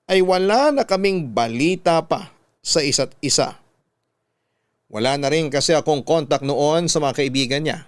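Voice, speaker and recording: Smooth, dry-miked male storyteller. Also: fairly high pitch, no echo. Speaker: radio drama narrator. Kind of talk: scripted first-person story narration.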